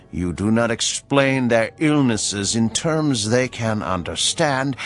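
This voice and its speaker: A man acting in a radio drama as a mysterious, unflappable agent of balance, formal and politely ominous. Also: mid-range voice. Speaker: actor.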